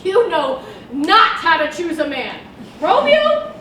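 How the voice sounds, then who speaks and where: A woman playing a garrulous, comic old nurse on stage, theatrical and exclaiming, teasing and complaining with drawn-out groans. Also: very high voice, big pitch swings, bright, forceful tone, heavily acted. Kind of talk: Shakespearean stage acting. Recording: distant, very echoey room with background noise.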